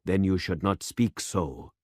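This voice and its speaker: A man reading aloud and voicing an elderly male village pastor who speaks slowly and solemnly. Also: sits low, no echo, studio quality. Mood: earnest. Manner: slow and solemn.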